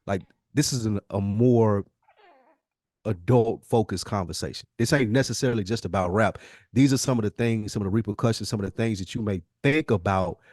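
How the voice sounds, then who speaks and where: A man's voice: on the low side, brisk, a little mellow, no echo, clean studio sound. A man co-hosting a podcast in casual conversation, talking in an earnest, slangy way and often posing rhetorical questions. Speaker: podcast co-host.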